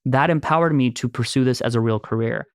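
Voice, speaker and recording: A man's voice: medium pitch, brisk, smooth, dry recording, studio quality. A man in his thirties from Los Angeles, a filmmaker telling stories in a casual chat and laughing easily along the way. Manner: animated storyteller, laughs easily. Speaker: filmmaker and producer. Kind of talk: casual conversation, storytelling.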